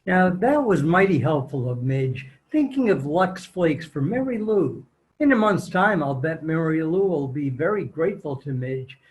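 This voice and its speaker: A man's voice: fairly high pitch, low-quality recording. A man reading scripted old-time radio announcements as the show's announcer in a virtual table read. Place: remote video call.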